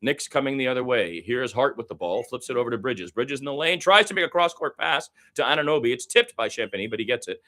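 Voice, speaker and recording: Bright, forceful man calling basketball play-by-play. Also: medium pitch. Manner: excitable in fast play, conversational between plays. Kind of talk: live radio play-by-play commentary.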